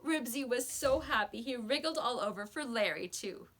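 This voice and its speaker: A woman reading a children's book aloud with expressive storybook narration, like a teacher to a class. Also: a little high, moderate pace.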